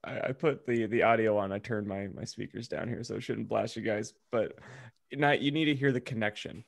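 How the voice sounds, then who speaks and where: Casual podcast guy, lowish voice, rambly informal chatting. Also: steady tone, fairly quick, creaky. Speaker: podcast co-host. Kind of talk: casual chat.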